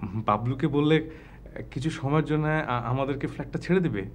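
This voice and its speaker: A man's voice: medium pitch, normal speed, a little hiss or hum, close to an everyday voice. An actor playing a bashful young man in love in a TV drama, who stammers and then turns flowery and poetic. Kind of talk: TV drama acting.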